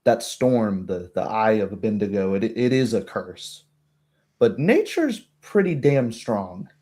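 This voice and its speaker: A man voicing a calm, musing tabletop game wizard who speaks tersely with thoughtful hums. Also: on the low side, slow. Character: calm male wizard, sparing with words, musing.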